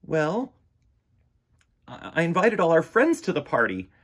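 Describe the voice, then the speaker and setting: A man's voice: a little high, wildly swooping pitch, measured pace, slightly rough, pitched above the performer's own voice, full character voice. A man voicing an eager, earnest and anxious bear in a children's storybook, speaking a little hesitantly. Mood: sad, apologetic.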